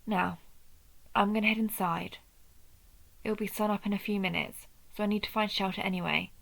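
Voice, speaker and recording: A woman playing an injured vampire who hunts her own kind, protective, proud and wry, in a measured, intimate audio roleplay. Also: mid-range voice, steady tone, creaky, a bit bright, poor audio. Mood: grave, urgent. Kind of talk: scripted audio roleplay addressed to the listener.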